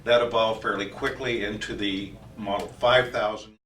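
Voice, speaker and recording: A man giving an informal technical training explanation as a company trainer, speaking haltingly with frequent hesitations. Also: on the low side, fairly even intonation, a bit bright. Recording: roomy echo, distant mic, faint noise.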